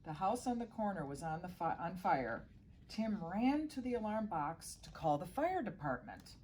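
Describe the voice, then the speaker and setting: Middle-aged woman, lowish voice, children's story reading, small stumbles, audible background noise. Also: big pitch swings, moderate pace, darkish tone. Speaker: guest children's story reader.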